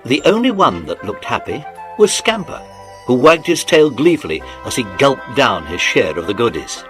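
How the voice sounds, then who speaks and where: A man narrating a dramatised children's audiobook in an expressive, animated storytelling manner. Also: mid-range voice, moderate pace. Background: music.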